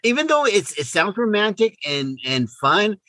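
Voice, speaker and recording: Animated, higher-voiced man bantering. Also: moderate pace, no room sound. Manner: playful, joking. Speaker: podcast co-host. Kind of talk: casual banter.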